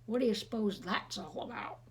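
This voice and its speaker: A woman's voice: on the high side, a little fast, a little hiss or hum. A woman reading a storybook aloud expressively to children, with chatty, teasing asides to her listeners.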